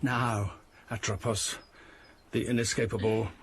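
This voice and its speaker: A man's voice: lowish voice, fairly even intonation, measured pace, a little hiss or hum. A man playing a proud, commanding ancient Greek hero in a historical audio drama, declaiming with hunger for fame. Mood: solemn, commanding.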